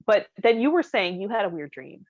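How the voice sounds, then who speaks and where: A woman's voice: mid-range voice, sing-song, a little fast, a little mellow, no room sound. A woman co-hosting a podcast, chatting casually in a musing, giggly way. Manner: giggly, laughs often while talking. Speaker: podcast co-host. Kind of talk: casual chat. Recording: dull, lo-fi, dry audio.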